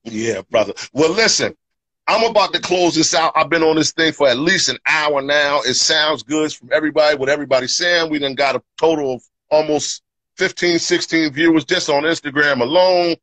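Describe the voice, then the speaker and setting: A man's voice: fairly high pitch, fairly quick, a bit bright, dry recording. A man hosting a casual live podcast, talking in an upbeat, loose way with lots of fillers and "you know what I'm saying". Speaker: podcast host. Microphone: podcast microphone.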